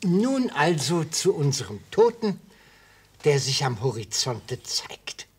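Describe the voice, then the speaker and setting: An actor playing a wily, gleeful retired prosecutor in a German radio play, an older man with a husky voice and a florid, probing way of talking that drops into whispers. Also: on the high side, big pitch swings, takes it slowly, full character voice. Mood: gleeful, sly.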